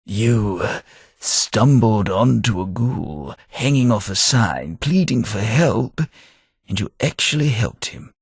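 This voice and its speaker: Man playing a husky old British ghoul. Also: on the low side, fairly bright tone, dry recording, exaggerated voice. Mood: fond. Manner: measured, formal speech with dry wit. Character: weary old ghoul man, formal and wry. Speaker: game character. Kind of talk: video game voice acting. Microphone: close studio mic.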